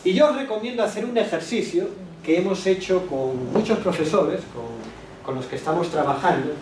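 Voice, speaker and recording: A man gives an animated lecture to teachers, asking the room rhetorical questions and adding quick asides to the audience. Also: high voice. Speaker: education speaker at a teachers' workshop. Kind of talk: lecture presentation. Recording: echoey hall, distant mic, background noise.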